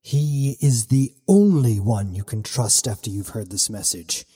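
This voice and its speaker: A man voicing a solemn, loving pirate father facing death, speaking slowly and low in an audiobook. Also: lively intonation, warm tone, husky, in a large hall. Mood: solemn, tender.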